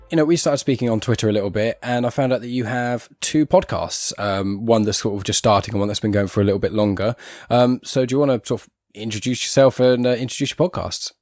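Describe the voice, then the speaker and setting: A man's voice: medium pitch, a little mellow, dry recording. A man hosting a podcast, talking in an informal, chatty, rambling way. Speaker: podcast host. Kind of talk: casual rambling podcast chat.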